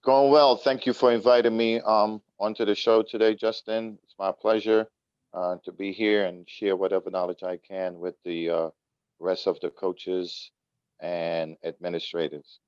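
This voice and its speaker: A man's voice: sits low, clear, resonant tone, no room sound, dull audio. A middle-aged man from Trinidad, a soccer coach, talking casually as an interview guest with many fillers. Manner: relaxed, unhurried, many fillers.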